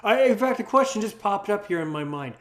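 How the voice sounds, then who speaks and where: A man's voice: high-pitched, expressive, brisk, a bit bright. A man hosting a casual interview, effusive and wordy, circling around his questions. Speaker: host, interviewer.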